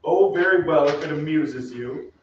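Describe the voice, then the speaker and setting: A stiffly courteous police inspector, played by a man, his voice slightly high. He is measured and condescending in radio-play style, sounding distant in a very reverberant space. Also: a little hiss or hum, close to an everyday voice. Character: dry, formal police inspector, rival suitor. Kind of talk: old-time radio drama acting. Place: theatre stage. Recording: very echoey stage, distant, dull.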